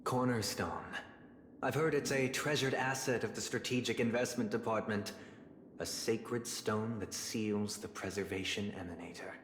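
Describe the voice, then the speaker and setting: An actor playing a composed, stern nobleman in a video game, speaking formally and with measured, polite condescension. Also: on the low side, a little hiss or hum, close to an everyday voice. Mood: cool, polite. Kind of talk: scripted video game dialogue acting. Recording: echoey hall reverb under loud music.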